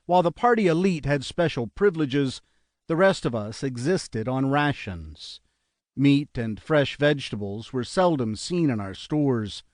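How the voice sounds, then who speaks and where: A man narrating an audiobook in a measured, earnest reading style, close to a studio mic. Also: medium pitch, dry recording. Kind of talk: scripted narration.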